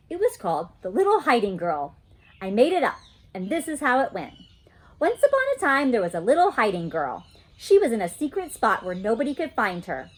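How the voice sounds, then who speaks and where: A woman reading a children's story aloud in an animated, childlike storytelling manner. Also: a little high.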